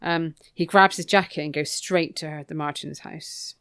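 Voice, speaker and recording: A woman's voice: low-pitched, steady tone, normal speed, a bit bright, smooth. A woman co-hosting a podcast, casually recapping a book in an irreverent, wry, sometimes sweary way. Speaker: podcast co-host.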